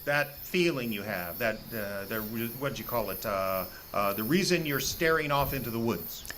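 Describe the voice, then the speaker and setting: A man's voice: lowish voice, animated, normal speed, sharp tone, slight background noise. An actor playing a paranoid, foreboding woodsman in an audio horror drama, insistent and given to rambling. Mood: smug, ominous. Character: paranoid, ominous woodsman convinced that creatures are coming.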